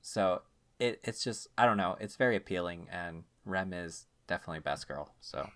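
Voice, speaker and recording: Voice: sits low, animated, moderate pace, a bit bright. A podcast co-host chatting informally about games and anime, sounding amused at their own remarks.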